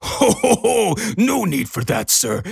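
A man voicing an obsequious, scheming cartoon reptile with a raspy voice. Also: high-pitched, wildly swooping pitch, measured pace, no echo, clean studio sound, pitched above the performer's own voice, exaggerated voice. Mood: placating, shifty.

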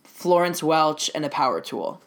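A man with a high-pitched, shrill voice co-hosting a casual comedy podcast, joking and laughing a lot. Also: lively intonation, normal speed, fairly bright tone. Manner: jokey, laughs often, riffs on bits. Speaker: podcast co-host.

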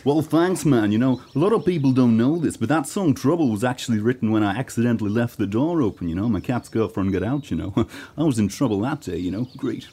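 Man playing a easygoing rock star, husky, animated pitch, friendly rambling, radio comedy play. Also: fast. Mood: breezy, friendly. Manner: rambling, peppered with "you know". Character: chatty, easygoing male rock star, self-pleased and friendly.

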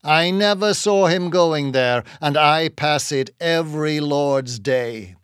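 A man's voice: a little high, slow, fairly bright tone, clean tone, no room sound, clean studio sound. A hollow-voiced old Quaker captain, played by a male narrator, pious and stern in a storybook reading. He questions sharply in archaic thee-and-thou phrasing, with little room sound around him. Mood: stern, suspicious. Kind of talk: dramatised audiobook reading.

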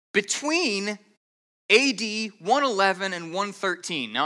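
A man's voice: high voice, pushed and bright. A man preaching an expository sermon as a pastor, explaining step by step in an earnest, methodical way, with the odd stammered restart. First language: English.

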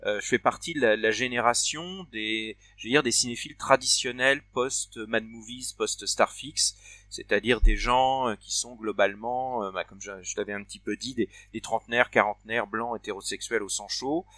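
A man's voice: fairly low pitch, normal speed, pushed and bright, dry recording. A man in his forties co-hosting a casual conversation, talking hesitantly with many fillers and restarts. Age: 40s. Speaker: podcast co-host.

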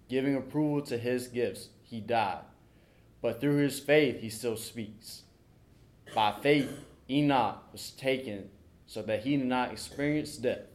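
A young man preaching and reading scripture at a church service, deliberate, pausing between short phrases. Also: mid-range voice. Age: young adult. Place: church sanctuary during a service.

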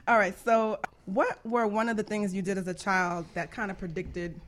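A woman's voice: medium pitch, level tone, moderate pace. A woman who produces and co-hosts the show, asking questions in a chatty, giggly way. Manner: giggly, chatty, laughs often. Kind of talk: casual interview chat.

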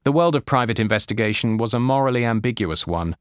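A man reading a scripted nonfiction audiobook as narrator, with measured, deliberate phrasing. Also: mid-range voice, expressive, smooth, no room sound, clean studio sound.

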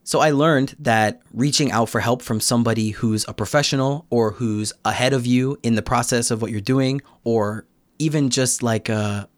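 A man's voice: medium pitch, moderate pace, dry recording. A young American man talking reflectively as a podcast guest, explaining his thoughts in a casual conversational way. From United States. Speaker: podcast guest, Korean learner.

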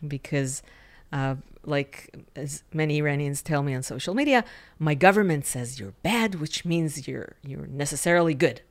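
Low-voiced woman expert explaining. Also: animated, moderate pace. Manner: corrective, explanatory, frequent restarts. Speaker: guest expert on Iran. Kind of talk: interview answers.

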